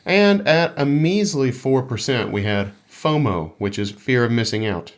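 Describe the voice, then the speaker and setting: A man's voice: medium pitch, lively intonation, measured pace. Playful guy co-hosting a game podcast, cracking jokes, reading comments. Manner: joking, playful. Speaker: podcast co-host. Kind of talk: casual gaming podcast chat.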